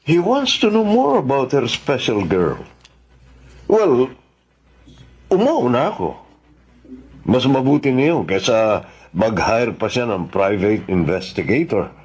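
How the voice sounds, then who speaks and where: Slow, scheming Filipino congressman. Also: medium pitch, very animated, a little mellow, slightly rough, heavily acted. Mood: sly, assured. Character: scheming smooth congressman, loyal grandson of a plotter. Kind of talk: Tagalog radio drama acting.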